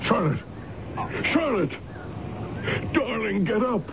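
An actor playing a gentle, reassuring husband in a 1970s radio drama. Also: male voice, high-pitched, sing-song, slow pace, vocal fry, over loud music, exaggerated voice. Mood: alarmed, tender. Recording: dull, noisy 1970s radio broadcast recording.

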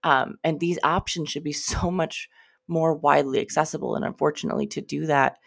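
A woman's voice: deep voice, fairly even intonation, brisk, creaky. A young American woman hosting her podcast, talking casually and rambling with lots of fillers and swearing, sounding stuffy and nasal from a cold. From United States. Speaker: podcast host. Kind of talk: casual solo podcast monologue.

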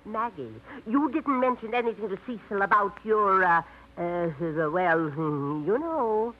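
A prim, fussy aunt. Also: female voice, medium pitch, expressive, unhurried. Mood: prying. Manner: prim, fussing, prying. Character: fussy prim middle-aged aunt, Clara. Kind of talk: 1950s radio drama acting. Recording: dull old radio broadcast.

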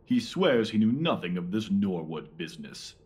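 Man playing a pompous, husky Victorian policeman. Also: low-pitched, very animated, moderate pace, a little mellow, full character voice. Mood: officious. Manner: officious, pompous. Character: pompous Victorian police detective man, self-satisfied, officious. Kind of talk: dramatised mystery audio drama.

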